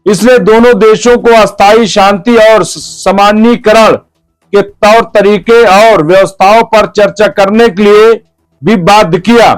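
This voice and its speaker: A man reading a scripted news explainer in a formal, measured way, in short phrases. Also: sits high, fairly even intonation, smooth, faint music behind, rough recording. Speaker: news narrator.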